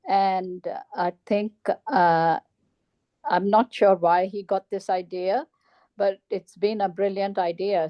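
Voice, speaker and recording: A woman's voice: medium pitch, no echo, highs cut off. A woman psychiatrist answering interview questions thoughtfully, with many hesitations and fillers.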